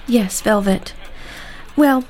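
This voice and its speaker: An actress playing a kindly, discreet housekeeper in an audio drama, speaking gently and carefully. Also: female voice, medium pitch, takes it slowly, slight background noise, natural voice, low-quality recording. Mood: awkward, sympathetic.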